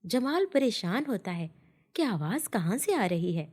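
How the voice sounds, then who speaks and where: A woman's voice: mid-range voice, sing-song, a little slow, darkish tone, breathy edge. A woman narrating a scripted children's story as a storyteller.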